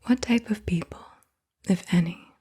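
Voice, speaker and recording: A woman softly asking questions in an ASMR style, in a breathy whisper with gentle, unhurried delivery. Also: mid-range voice, slight background noise. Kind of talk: soft-spoken ASMR interview questions. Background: computer keyboard typing.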